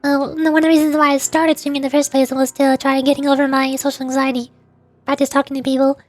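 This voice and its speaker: A woman with a high, light voice chats casually with her stream viewers. She talks softly and pauses to think before she answers. Faint music plays under her voice. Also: level tone, no room sound.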